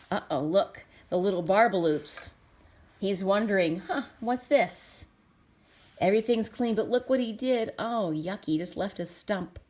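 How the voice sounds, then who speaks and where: A woman reading a storybook aloud for children, expressive, pausing to explain and ask simple questions. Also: mid-range voice. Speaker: storybook reader. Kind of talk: children's read-aloud with asides to the listener.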